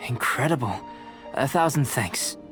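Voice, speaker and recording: A composed young alchemist from a game, voiced by a man in a fan dub, who talks in a measured, scholarly, courteous way. His voice sits in an ordinary middle register. Faint game music plays behind him. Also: medium pitch, expressive, a bit bright. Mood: calm. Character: calm young male alchemist researcher.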